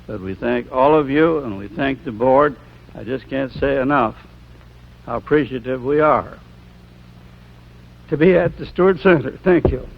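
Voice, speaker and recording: A man's voice: mid-range voice, unhurried, creaky, long pauses, lo-fi sound. A man with an American accent giving a thank-you speech at a ceremony, on a 1970s live recording.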